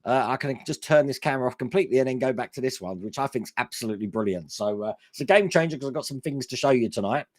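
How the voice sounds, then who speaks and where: A man hosting a casual live stream, his voice hoarse and worn, chatting enthusiastically with lots of fillers. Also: mid-range voice, quick pace, few pauses, no room sound. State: hoarse, voice going.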